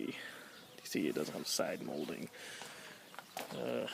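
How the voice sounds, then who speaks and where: A man giving a casual, offhand walkaround in colloquial speech, low-key and gravelly. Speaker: vlogger showing his pickup trucks. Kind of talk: casual chat, walkaround show-and-tell. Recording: noisy outdoor handheld camera recording.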